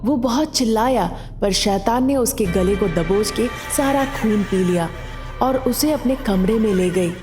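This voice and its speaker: A woman's voice: medium pitch, a little slow, in a large hall, music in the background. A woman narrating a scripted story as a storyteller.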